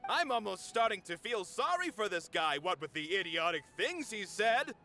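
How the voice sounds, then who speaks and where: A cheeky, outspoken young male friend in video game style. The voice is bright and high for a man, casual and lively in pitch. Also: moderate pace, a little hiss or hum, exaggerated voice. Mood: mocking.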